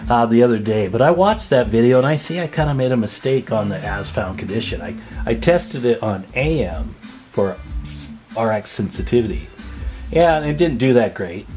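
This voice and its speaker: A man's voice: lowish voice, darkish tone. An older man in his sixties, plain-spoken and folksy, giving a casual, rambling hands-on demonstration as the host. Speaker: host, radio repair hobbyist.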